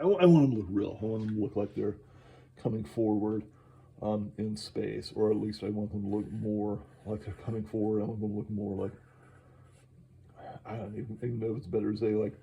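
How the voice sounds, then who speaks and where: A man talking casually while drawing, halting and enthusiastic, with many fillers and restarts, moving around his studio. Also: on the low side, creaky, warm tone, a little hiss or hum. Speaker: artist vlogging while drawing. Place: indoor studio, moves around the room.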